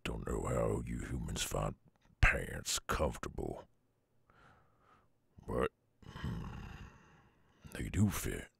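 A man voicing a brooding, weary loner werewolf with a Southern US accent and a gruff, husky drawl, in an ASMR audio roleplay. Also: a bass voice, expressive, a bit bright, no room sound, exaggerated voice. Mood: wary, grudging, then softening, amused. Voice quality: very gravelly, husky. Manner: slow, drawling, murmured.

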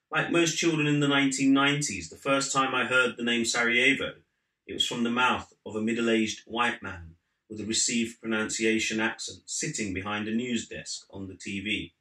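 A man in his forties, an author reading from his own book as measured literary narration in a living room. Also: fairly low pitch, sharp tone. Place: living room at home, seated in an armchair. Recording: slightly roomy indoor sound.

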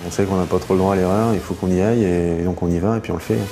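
A man in his thirties, a site engineer, speaking haltingly in a documentary interview in a site office. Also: low-pitched, steady tone. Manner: hesitant, halting. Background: soft background music.

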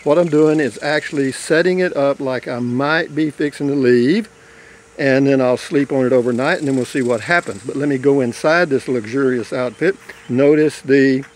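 A man's voice: medium pitch, darkish tone, rough recording. Man, casual self-shot vlog, folksy rambling tone, keeps checking in with the viewer. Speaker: vlogger showing his camper build. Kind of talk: casual walkthrough. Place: in and around a pickup camper shell outdoors.

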